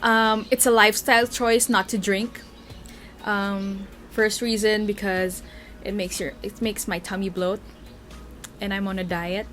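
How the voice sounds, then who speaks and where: A woman's voice: medium pitch, level tone, unhurried, fairly bright tone, faint music behind. A young woman with a Filipino accent, chattily reading out fan questions and answering them at length in a casual Q&A video. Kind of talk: casual Q&A vlog.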